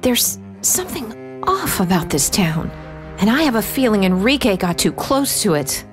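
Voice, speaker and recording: A woman's voice: mid-range voice, very animated, normal speed, music in the background, natural voice. A woman playing a determined, wary young journalist heroine in a game, narrating in an earnest, intrigued way. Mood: wary, curious. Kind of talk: scripted game heroine voice-over.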